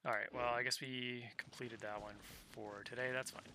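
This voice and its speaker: A man's voice: medium pitch, level tone, moderate pace, slight background noise. A man giving casual gameplay commentary to viewers, talking through what he is doing. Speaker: game streamer. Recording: loud game music behind voice. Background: loud video game music.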